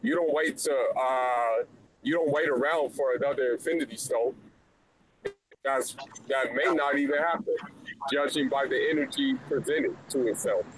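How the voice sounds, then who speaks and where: A man calling in to a casual chat, measured, asking before he speaks and leaning on "you know". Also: medium pitch, a little hiss or hum, rough recording. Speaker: caller. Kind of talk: casual debate on a call.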